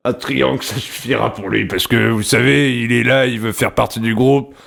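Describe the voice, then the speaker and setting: A man's voice: medium pitch, steady tone, moderate pace, creaky, a bit bright. A man playing an earnest, rambling construction worker who wants to start a rock band, in a prank phone call. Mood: insistent. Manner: rambling, hesitant, pestering. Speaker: prank caller.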